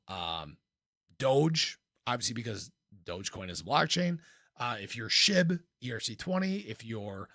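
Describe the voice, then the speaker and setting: A man hosting a casual livestream in an informal, playful way, with a slightly hoarse voice and frequent throat clearing. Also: medium pitch, fairly bright tone, dry recording, clean studio sound. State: slightly hoarse, losing his voice. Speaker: livestream host, crypto commentator. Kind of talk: casual livestream chat, reading and answering viewer comments.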